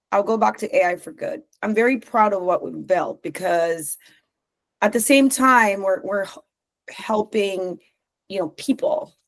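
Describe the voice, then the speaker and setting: A woman from Zambia, a company co-founder, talking in a podcast interview in an animated way, laughing often and restarting her sentences. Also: mid-range voice.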